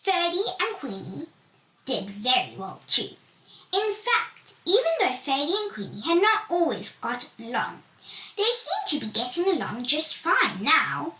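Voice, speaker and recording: A woman reading a children's storybook aloud in an expressive way, with the occasional stumble. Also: high-pitched, measured pace. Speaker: story reader. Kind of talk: scripted children's storybook narration. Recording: roomy, distant mic, faint noise.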